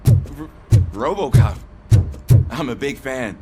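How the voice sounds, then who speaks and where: An actor playing a young, deferential rookie police officer with an earnest, polite manner. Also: male voice, medium pitch, lively intonation, takes it slowly, mellow, soft background music. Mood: eager, excited.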